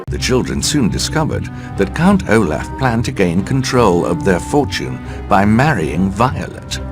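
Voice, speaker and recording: Low-voiced, gloomy storybook narrator. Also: male voice, sing-song, measured pace. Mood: wry, regretful. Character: gloomy, solemn male narrator of woeful tales. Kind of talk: scripted storybook narration in a video game. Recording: loud music behind the voice.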